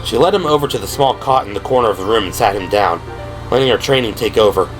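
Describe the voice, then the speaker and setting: A man's voice: lowish voice, lively intonation, fast, low-quality recording. A man reading scripted third-person narration for an audio drama. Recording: music behind the voice.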